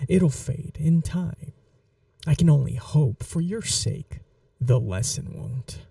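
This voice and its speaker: A possessive vampire mistress, acted by a woman for an audio roleplay, speaks very softly and darkly with a gravelly creak. She drawls slowly and coaxes with condescending, sweetly cruel amusement, often dropping to a whisper. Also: very deep voice, dry recording, distant mic, heavily acted. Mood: quietly menacing. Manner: condescending, purring, coaxing like an owner to a pet. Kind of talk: ASMR roleplay, slow intimate monologue.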